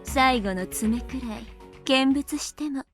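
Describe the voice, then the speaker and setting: High-voiced, aloof young witch. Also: a little fast, a bit bright, soft background music. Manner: aloof, dismissive. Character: cool, indifferent, condescending witch girl. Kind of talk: anime-style visual novel acting.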